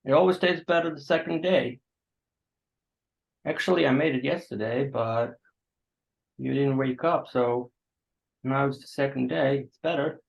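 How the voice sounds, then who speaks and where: A man acting an awkward, apologetic host to a stranger in a staged play reading, halting and understated, on a dull recording. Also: medium pitch, creaky. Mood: awkwardly kind.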